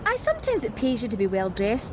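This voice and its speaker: A quick, lively Scottish street worker. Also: female voice, a little high. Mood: chatty. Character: chatty streetwise woman working the streets. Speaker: street sex worker questioned by police. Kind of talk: radio drama acting. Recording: radio drama with street noise.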